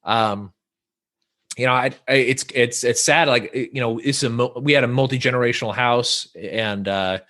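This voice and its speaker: A man from Northern California chats on a podcast with a bright, forward tone. He rambles and restarts his sentences, leaning on filler words as he goes. His pitch sits in an ordinary middle range. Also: normal speed. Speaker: podcast guest. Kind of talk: casual podcast conversation.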